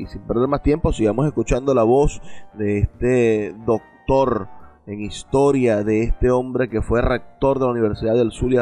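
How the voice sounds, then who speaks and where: A man's voice: medium pitch, a little mellow. A man presenting a radio program in a formal, announcing tone. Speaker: radio host.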